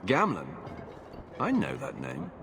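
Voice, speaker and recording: An actor playing a stern, blunt, weary guard captain in a video game. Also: male voice, mid-range voice, wildly swooping pitch, slow, creaky, a bit bright, exaggerated voice. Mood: skeptical. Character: stern, cynical guard captain turning away refugees. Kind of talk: video game character acting. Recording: long hall reverb under music.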